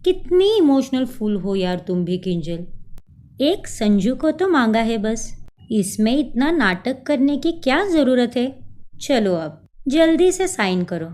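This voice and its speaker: A woman's voice: fairly high pitch, sing-song, takes it slowly, darkish tone. A woman voicing a cold, scheming young woman who mocks and bosses others around, slipping in English words. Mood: scornful. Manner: taunting, bossy, mixes in English words.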